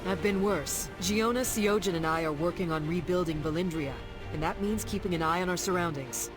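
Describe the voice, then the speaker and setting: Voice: mid-range voice, brisk, natural voice. An actor playing a friendly, watchful scout fighter in a video game, with a casual, wry manner. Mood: wry, concerned. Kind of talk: video game character acting. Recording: game music behind.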